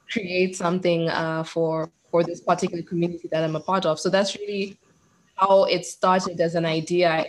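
A woman's voice: on the low side, rough recording. A woman in her twenties from Zimbabwe, a PhD researcher speaking as an interview guest in a reflective, articulate way. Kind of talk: interview over a call.

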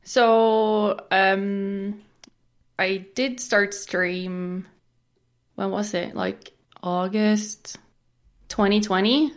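Slow-paced young Norwegian woman. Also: mid-range voice, fairly even intonation. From Norway. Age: 20s. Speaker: podcast guest, game streamer. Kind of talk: casual chat.

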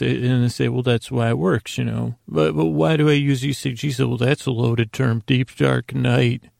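A man speaks in a heavily creaky, fry-laden voice at a middle pitch, close and dry on the mic. He hosts a sleep podcast, wandering through his monologue with halting starts and self-interruptions. His sentences often trail into side tangents. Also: darkish tone, dry recording. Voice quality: very creaky, gravelly. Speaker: podcast host.

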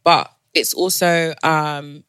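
A woman's voice: sits low, steady tone, slow, fairly bright tone, clear, resonant tone. A young woman from Zimbabwe and south London, a native Shona speaker with a London accent, chatting casually and slangily as a podcast guest. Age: young adult.

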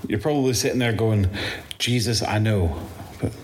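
A man with a Scottish accent playing a weary, rattled zombie-apocalypse survivor in an audio drama, speaking haltingly and swearing as he records his testimony. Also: fairly low pitch, darkish tone, a little hiss or hum, natural voice. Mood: grave, urgent.

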